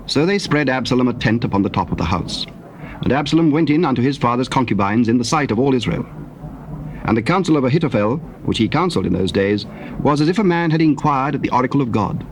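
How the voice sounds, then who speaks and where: A man's voice: mid-range voice, lively intonation, fast talker. A man narrating scripture as a solemn, scripted story on a noisy old tape.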